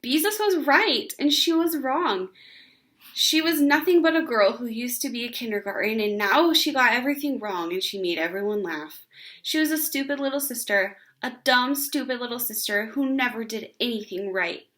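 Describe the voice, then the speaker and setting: A woman reading a children's book aloud as an expressive storyteller. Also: fairly high pitch, normal speed.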